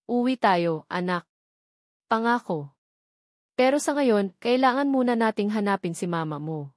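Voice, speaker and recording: A woman's voice: mid-range voice, lively intonation, measured pace, dry recording, studio quality, lightly acted. Audio drama: tender, worried father, voiced by a woman, urgent and reassuring, closely recorded. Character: anxious, tender young father searching for his son.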